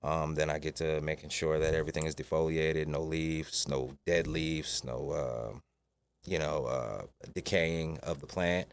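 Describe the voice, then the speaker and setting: Very deep-voiced laid-back man. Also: fairly even intonation, unhurried, clear, resonant tone. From Shinnecock, Long Island. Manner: laid-back, easy laughs, says "you know what I'm saying". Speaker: guest, cannabis grower. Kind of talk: casual podcast chat.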